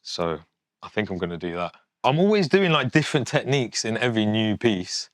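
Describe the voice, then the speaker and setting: Low-voiced young Englishman, close and dry. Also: lively intonation, fairly quick, dry recording. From England, born in Yorkshire with family from Pakistan. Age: 20s. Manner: informal, matter-of-fact, candid. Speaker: fashion designer, documentary subject. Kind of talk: casual, unscripted talk to camera.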